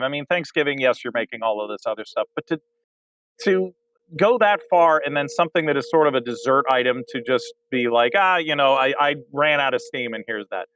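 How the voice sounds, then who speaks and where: A man talking as a guest in a casual comedy debate, earnest and halting, often restarting his sentences. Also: fairly high pitch, fairly bright tone, a little hiss or hum, low-quality recording. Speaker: guest director.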